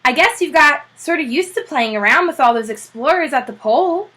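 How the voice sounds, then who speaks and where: A kindly, middle-aged storybook father who muses softly and talks gently, as a woman reads him aloud. The voice sits high and lively, bright in tone. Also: fairly quick.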